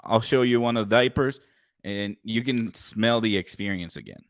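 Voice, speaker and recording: Deep-voiced upbeat young podcast host. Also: male voice, moderate pace, no echo, clean studio sound. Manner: loud, upbeat, swears freely. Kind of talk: casual chat.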